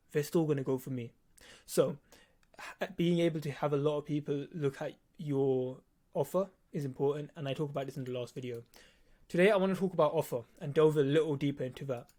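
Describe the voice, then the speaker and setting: Teen guy w a lisp, bit high pitched, explaining stuff casually, blunt. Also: a little mellow. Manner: blunt, casual, swearing, emphatic repetition. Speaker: video editor teaching on own channel. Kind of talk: informal explainer to viewers.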